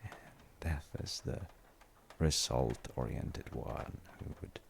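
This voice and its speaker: A man playing a gentle, musing father in a sleep-aid audio roleplay, talking in unhurried, halting rambles with a low, gravelly voice. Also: slight background noise, exaggerated voice. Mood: soothing, musing. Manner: unhurried, musing, halting with soft trailing phrases. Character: gentle, musing father comforting his tired grown child.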